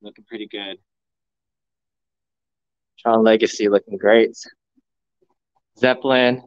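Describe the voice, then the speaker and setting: A man livestreaming a walkthrough of a pinball showroom, excitedly talking to his viewers, recorded on a phone indoors. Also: on the low side, takes it slowly, no room sound, dull audio. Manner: excited, enthusiastic, addressing viewers. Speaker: vlogger, live streamer. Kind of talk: live walkthrough commentary. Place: large indoor arcade showroom. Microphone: phone camera.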